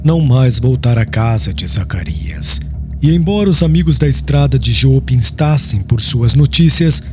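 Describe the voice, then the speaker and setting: A man with a Brazilian accent giving a solemn, measured audiobook narration of literary prose, with phrases falling at the end. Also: mid-range voice, expressive. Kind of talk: scripted literary narration of a religious historical novel. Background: background music.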